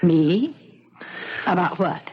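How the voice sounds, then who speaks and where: A woman playing a treacherous, guilt-ridden poisoner in a 1970s radio drama, intense and halting, on a muffled, noisy broadcast recording. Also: on the low side, expressive, husky, exaggerated voice. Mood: nervous, guarded.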